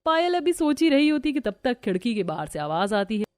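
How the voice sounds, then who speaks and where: A woman narrating a scripted animated family story. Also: a little high, a little fast. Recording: music behind the voice.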